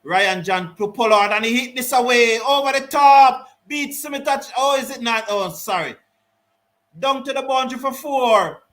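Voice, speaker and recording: Guy doing live cricket commentary, high bright voice, gets loud on the sixes. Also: normal speed. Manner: lively, rises excitedly on big shots, Caribbean English phrasing. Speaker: cricket watch-along commentator. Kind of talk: live ball-by-ball commentary reading viewer chat.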